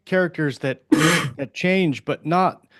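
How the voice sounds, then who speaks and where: A man's voice: a little high, slow, a bit bright, dry recording. A man co-hosting a podcast, talking casually and conversationally about his views. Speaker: podcast co-host. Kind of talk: casual book discussion.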